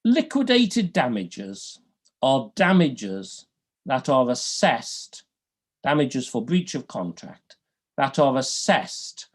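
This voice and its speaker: Slow, lively British law lecturer. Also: male voice, mid-range voice. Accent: Liverpool. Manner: dry humour, deliberate, rises and falls a lot. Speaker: law professor. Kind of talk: academic lecture.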